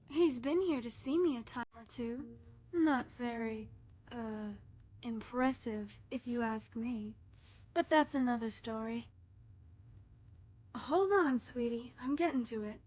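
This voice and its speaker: Woman playing a high-voiced flirty tavern courtesan. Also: a little mellow. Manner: teasing, coy, sultry drawn-out endearments. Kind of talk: scripted game character dialogue.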